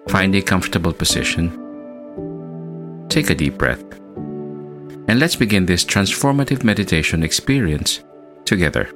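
Very deep-voiced man guiding meditation. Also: very animated, a bit bright. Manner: measured, soothing delivery with long pauses. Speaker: meditation podcast host. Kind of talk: slow guided meditation narration. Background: music bed.

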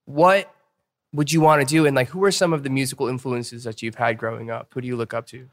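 A male host runs a relaxed interview, chatty and quick to joke. His questions come out loose and conversational. His voice sits at an ordinary pitch for a man. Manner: playful, joking, chatty questioner. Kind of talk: casual interview chat.

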